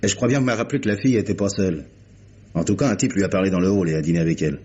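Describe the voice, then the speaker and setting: Fast-talking, deep-voiced hotel clerk. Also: male voice, dark tone, slightly rough. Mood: gossipy. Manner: folksy, hesitant, eager gossip. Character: chatty, slangy male hotel night clerk. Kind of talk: old radio mystery acting. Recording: muffled, hissy vintage radio recording.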